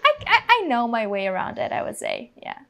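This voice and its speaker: A woman's voice: on the high side, wildly swooping pitch, fairly quick, creaky, bright, forceful tone. A woman in her twenties, a non-native English speaker, chatting casually as an interview guest and explaining things informally. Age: 20s. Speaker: guest, English teaching video creator.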